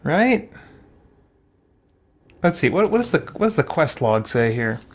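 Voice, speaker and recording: A man's voice: fairly high pitch, big pitch swings, a little slow. A man giving casual, unscripted let's-play commentary, hesitant and thinking aloud to himself as he plays. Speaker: gaming host playing and commenting on a role-playing game.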